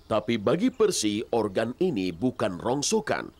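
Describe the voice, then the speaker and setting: A man narrating a scripted children's story in an expressive storyteller's manner. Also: medium pitch, measured pace. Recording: loud music behind.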